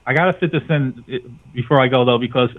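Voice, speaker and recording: A man's voice: mid-range voice, quick pace. A middle-aged man chatting casually as a guest on a talk show over a call. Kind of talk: casual chat. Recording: tinny phone-like line.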